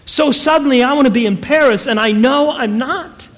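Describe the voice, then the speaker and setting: A weary, disillusioned middle-aged wife from a story reading, acted out by a man. The voice is high and exclaiming, with folksy plain speech. It rings with long reverb in a hall. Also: moderate pace. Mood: tearful, upset.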